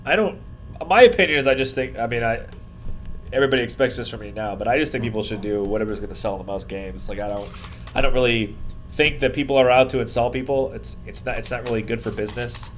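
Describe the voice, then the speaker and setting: Informal rambling man on a noisy podcast. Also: fast. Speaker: podcast co-host. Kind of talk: casual panel discussion. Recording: noisy room recording with low rumble.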